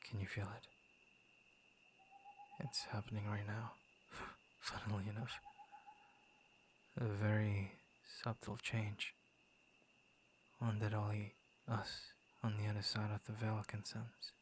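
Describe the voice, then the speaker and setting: A man playing a gentle, lonely young werewolf in an intimate ASMR roleplay, speaking softly and often slipping into a breathy whisper. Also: fairly low pitch, monotone, slight background noise. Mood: soft, confiding. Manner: soft, breathy, often dropping into whispers, hesitant pauses. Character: gentle, lonely young male werewolf turned tender suitor. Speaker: voice actor in audio roleplay. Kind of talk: ASMR boyfriend roleplay, intimate scripted monologue.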